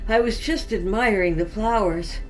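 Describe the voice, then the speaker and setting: A woman's voice: medium pitch, faint music behind, voice pushed up, close to an everyday voice, poor audio. A woman voicing an ailing older man who gasps in pain, in a storybook reading. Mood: pained, gasping. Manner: gasping, halting.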